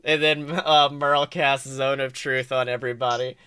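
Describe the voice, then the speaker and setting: A man's voice: medium pitch, flat delivery, normal speed, bright, forceful tone, smooth, no room sound. A man improvising dramatic narration as the game master of a tabletop game. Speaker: game master, podcast host. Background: guitar music.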